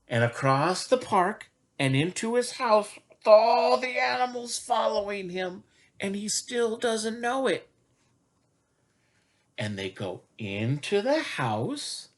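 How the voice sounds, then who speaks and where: A man reading a picture book to young children, expressive and chatty, asking questions as he goes. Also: high voice.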